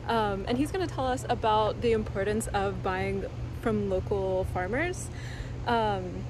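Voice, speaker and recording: A woman's voice: mid-range voice, unhurried. A woman in her twenties hosting a casual vlog interview, speaking into a phone held at arm's length. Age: 20s. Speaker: host and interviewer. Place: outdoors and in greenhouses on a farm. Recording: noisy outdoor phone recording.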